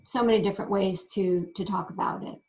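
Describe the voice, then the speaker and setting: A woman teaching yoga meditation, giving gentle instructions in short phrases with frequent pauses. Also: medium pitch, steady tone. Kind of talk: guided meditation.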